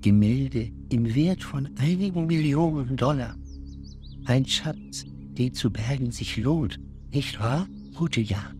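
Man playing male master thief, cryptic, formal, slow measured trailer voice-over, music behind. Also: mid-range voice, lively intonation. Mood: solemn, cryptic. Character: cryptic male master art thief reading his farewell letter.

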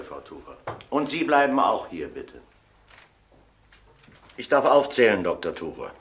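An actor playing a methodical police inspector in a crime radio drama, measured, formal and insistent. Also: male voice, medium pitch, a bit bright. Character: stern, methodical male police detective inspector.

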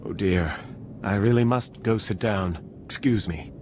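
An actor playing an anxious, flustered scientist in a video game. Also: male voice, fairly low pitch, measured pace, noisy background, natural voice. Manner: flustered, fretful.

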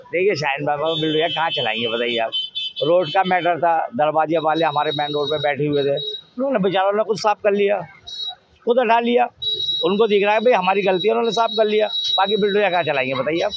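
A man's voice: fairly high pitch, brisk, a little hiss or hum. A middle-aged man giving his opinion emphatically in a street interview, often asking rhetorical questions. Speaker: local resident interviewed on the street. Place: outdoors in a busy market street. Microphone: handheld reporter's microphone. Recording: dull, lo-fi street recording.

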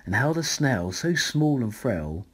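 A man reading a rhyming children's picture book aloud as a bedtime story, in a measured, dramatic way. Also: mid-range voice, big pitch swings.

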